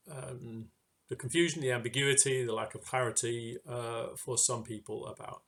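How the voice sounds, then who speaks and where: A man giving an informal sermon reflection in a hesitant, halting way, pausing mid-phrase. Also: medium pitch. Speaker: church speaker giving a reflection.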